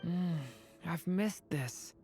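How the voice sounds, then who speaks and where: Gentle, lowish-voiced dragon boy. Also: extremely slow, over music. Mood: wistful. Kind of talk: video game character voice acting.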